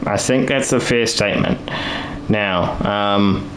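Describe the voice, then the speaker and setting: A man's voice: on the low side, slow, noisy background. A man live-streaming a building game, rambling and thinking aloud with lots of restarts while he is losing his voice.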